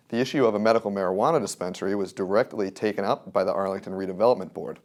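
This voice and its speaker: Low-pitched American man reporting news. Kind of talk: scripted news narration.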